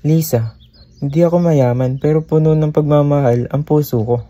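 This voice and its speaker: A man's voice: on the high side, steady tone, normal speed, dark tone, natural voice. A man playing an earnest, awkward young village suitor in a radio drama, speaking sincerely and a little hesitantly. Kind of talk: student radio drama acting.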